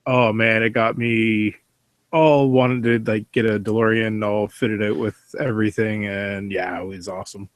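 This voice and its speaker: A man's voice: on the low side, fairly even intonation, moderate pace, no room sound. A man chatting casually as a panelist on a podcast. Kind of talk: casual chat.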